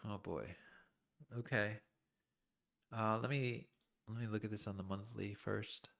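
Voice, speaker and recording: A man's voice: on the low side, steady tone, measured pace, vocal fry, fairly bright tone. A man streaming live chart analysis, thinking aloud informally in fragments, with a voice that is starting to give out. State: losing his voice, clearing his throat. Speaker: crypto trading streamer.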